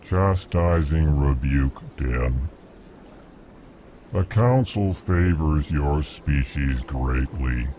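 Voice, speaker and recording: A man voicing a huge, placid alien diplomat in a video game, speaking in a ponderous monotone. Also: very deep voice, very slow, a little hiss or hum, full character voice. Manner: monotone, ponderous, states his tone before speaking. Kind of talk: video game character dialogue. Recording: music behind the voice.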